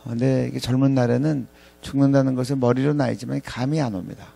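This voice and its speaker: A man in his fifties preaching a reflective sermon to ministry staff in a halting way, pausing often and repeating phrases. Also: mid-range voice, fairly even intonation, gravelly, warm tone. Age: 50s. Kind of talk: reflective sermon with scripture readings.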